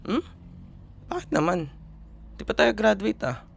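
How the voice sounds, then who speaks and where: A man's voice: sits high, very animated, a little slow, vocal fry, husky, exaggerated voice. A young man with a Filipino accent playing a caring but exasperated college boyfriend in an intimate audio roleplay, talking casually and earnestly in mixed Tagalog and English. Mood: concerned, coaxing. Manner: casual Taglish, coaxing and earnest.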